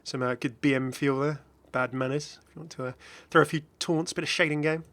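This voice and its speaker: A man's voice: fairly high pitch, fairly even intonation, brisk, fairly bright tone. A man giving casual, chatty commentary as host of a gaming video. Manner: chatty, frequently chuckling.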